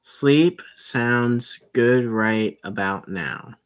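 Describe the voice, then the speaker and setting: A man's voice: medium pitch, very slow. A man casually reading a visual novel aloud, throwing in joking, swearing asides. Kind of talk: casual let's-play narration.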